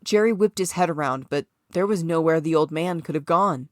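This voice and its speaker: Female narrator for supernatural fiction, scripted read, lower register, very dry recording. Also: normal speed, dry recording. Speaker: author reading own short story.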